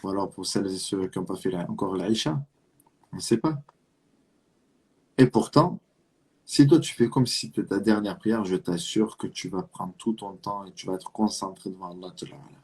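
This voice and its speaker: Man, low husky voice, Marseille accent, earnest preacher who talks straight to the listener and repeats his points. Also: normal speed, a little mellow. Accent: southern French (Marseille). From France (Marseille). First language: French. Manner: earnest, exhortative, rhetorical questions and repetition. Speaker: Islamic preacher. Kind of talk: religious talk addressing the listener directly.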